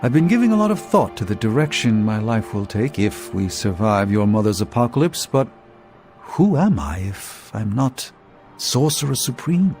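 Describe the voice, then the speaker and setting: A man's voice: on the low side, big pitch swings, normal speed, darkish tone, faint music behind. A man playing a weary, reflective sorcerer with refined speech and self-doubt in a video game cutscene. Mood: adrift, doubtful.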